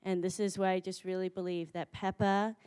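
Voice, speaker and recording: A woman's voice: lowish voice, flat delivery, fairly quick, darkish tone, clean tone. A woman host giving a heartfelt, faith-centered introduction of the next speaker, with frequent fillers. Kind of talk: warm spoken introduction.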